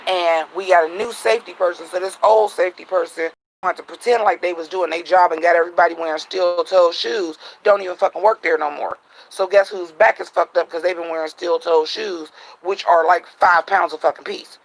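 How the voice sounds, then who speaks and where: A man vlogging at home at night, venting bluntly and profanely in a casual monologue, his voice a little raspy. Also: sits high, fairly even intonation, brisk, low-quality recording.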